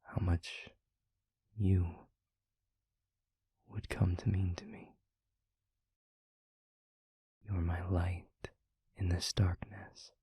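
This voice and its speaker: Man playing a husky, slow-speaking demon lover. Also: deep voice, level tone, dark tone, dry recording, exaggerated voice. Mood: tender, earnest. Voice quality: breathy, husky, gravelly murmur. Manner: slow, florid, deliberate phrasing. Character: tender, eloquent male demon lover, wry and devoted. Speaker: audio roleplay voice actor. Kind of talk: intimate whispery audio roleplay.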